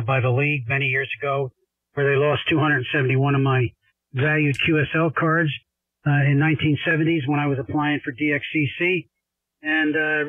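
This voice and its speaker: An older man chatting casually as a ham radio operator, explaining things in a rambling, halting way with repeated words. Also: a little high. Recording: roomy, narrow-band radio sound, distant.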